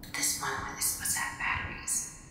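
A woman performing an ASMR roleplay as a bold, teasing companion exploring a haunted house, speaking softly and breathily, often close to a whisper. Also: a little fast. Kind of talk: ASMR roleplay, soft-spoken improvised dialogue. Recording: very echoey hall reverb, faint noise.

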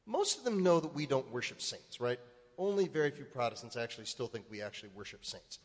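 A man's voice: mid-range voice, expressive, fast. A male priest preaching a sermon, building to emphatic, rising points. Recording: reverberant church hall.

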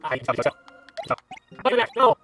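A man's voice: extremely high pitch, big pitch swings, fairly quick, a bit bright. A man giving casual gameplay commentary as a video host, chatting and thinking aloud as he plays. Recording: game music behind the voice. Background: video game music.

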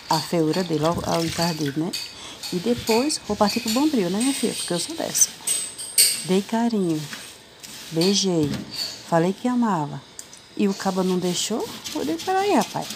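A middle-aged woman talks through a home cleaning video, teasing and full of slangy asides. Her voice sits slightly low. The phone recording carries heavy background noise from the kitchen. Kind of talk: casual chatty tutorial. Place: home kitchen, voice heard while cleaning a stove. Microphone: phone camera held close over the stovetop.

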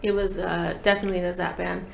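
A woman's voice: fairly low pitch, flat delivery, fast, gravelly. Young woman chatting casually in a roomy studio. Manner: informal, slangy. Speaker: guest musician, talk box player. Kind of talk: casual interview chat. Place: small indoor podcast studio. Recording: roomy echo, background noise.

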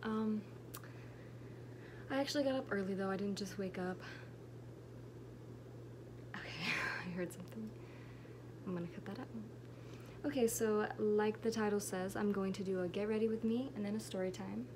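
A woman's voice: mid-range voice, steady tone, normal speed, long pauses. A late-teen woman talking into a camera at arm's length in her bedroom, casually telling a rambling story full of fillers and restarts. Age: late teens. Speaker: vlogger. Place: bedroom at home with air conditioning running. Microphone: phone or camera at arm's length. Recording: air conditioner hum in the background.

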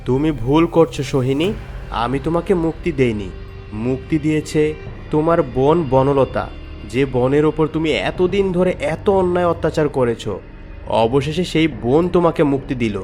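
A man's voice: on the high side, moderate pace, a little mellow, close to an everyday voice. A man voicing a stern, righteous young prince in a fairy-tale cartoon, commanding and formal. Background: background music.

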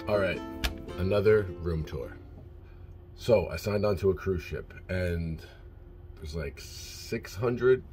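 Very deep-voiced man giving a cabin tour. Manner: relaxed, rambling, lots of ums. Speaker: vlogger. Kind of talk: casual vlog room tour. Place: small crew cabin on a cruise ship. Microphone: phone held at arm's length. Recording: music playing under the voice. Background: background music.

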